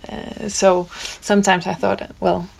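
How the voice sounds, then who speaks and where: Gravelly woman, hesitant and thoughtful. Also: medium pitch, little pitch movement, measured pace, darkish tone. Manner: hesitant, with many fillers and restarts. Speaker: guest musician. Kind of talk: casual conversation.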